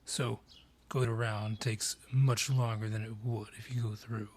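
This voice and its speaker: A man voicing an ancient, gruff dryad forest guardian in a fantasy audio drama, husky, brusque and ominous in delivery. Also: fairly low pitch, little pitch movement, a little mellow. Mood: grim, dry. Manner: deliberate, brusque, ominous storyteller. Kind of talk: fantasy audio roleplay acting.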